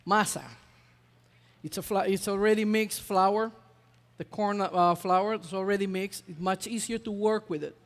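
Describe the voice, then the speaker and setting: A man's voice: sits high, fairly even intonation, moderate pace, long reverb tail. A man from Ecuador, a chef chatting through a live cooking demonstration, hesitant with many fillers.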